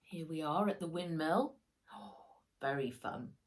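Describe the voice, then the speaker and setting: Woman reading a storybook, slow and animated. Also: deep voice. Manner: dramatic, with sound words acted out. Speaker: author reading own book. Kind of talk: expressive children's storybook narration.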